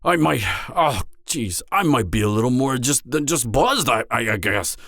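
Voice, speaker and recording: A man's voice: mid-range voice, expressive, moderate pace, fairly bright tone, dry recording, studio quality. A man playing an anxious, awkward, slightly drunk part-giant mercenary in an intimate fantasy audio roleplay, hesitant and stammering. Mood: tipsy, wistful. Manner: hesitant, stammering, trailing off, sighing.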